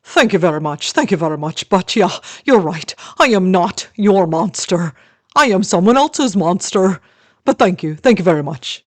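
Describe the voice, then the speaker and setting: A woman's voice: on the low side, lively intonation, moderate pace, darkish tone, no room sound. A woman voicing a self-satisfied storybook monster with showy politeness. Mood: smug, polite.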